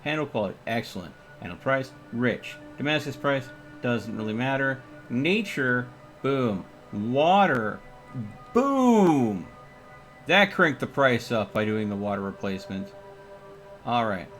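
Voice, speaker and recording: A man's voice: mid-range voice, sing-song, unhurried, a bit bright, soft background music. A man giving casual live gameplay commentary as a streamer, thinking aloud in short, sometimes repeated phrases. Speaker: video game streamer.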